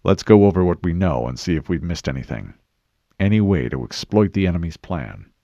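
A man voicing a calm, stoic military commander in an audiobook, with a terse, measured and authoritative delivery. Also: sits low, dry recording, highs cut off, full character voice. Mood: businesslike. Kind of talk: audiobook character voice.

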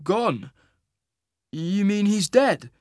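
A man's voice: high voice, moderate pace, fairly bright tone, dry recording, clean studio sound, voice pushed up. A man voicing an earnest, proud male traveller with a formal, insistent way of speaking. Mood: concerned.

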